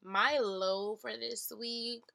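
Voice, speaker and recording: A woman's voice: on the high side, steady tone, takes it slowly, gravelly, bright, forceful tone. A woman in her twenties co-hosting a podcast, talking in a casual, chatty and playful way with slang. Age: 20s. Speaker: podcast co-host.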